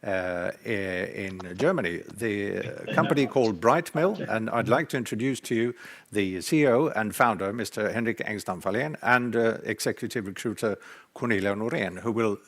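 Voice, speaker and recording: A man's voice: fairly low pitch, normal speed. A man moderating a business webinar, speaking formally with frequent fillers.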